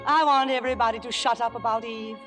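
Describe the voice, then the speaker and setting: A grand, imperious aging diva of the stage, played by a woman in old radio style. Her voice is fairly high, dramatic and witty, with clear music behind the broadcast. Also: steady tone, fast, lightly acted. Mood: giddy, imperious. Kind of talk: vintage radio drama acting.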